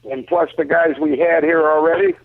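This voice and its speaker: A man's voice: a little high, steady tone, moderate pace. An American man giving emphatic, opinionated sports commentary in a casual, colloquial way, repeating himself as he makes his points. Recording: muffled lo-fi phone-like radio sound.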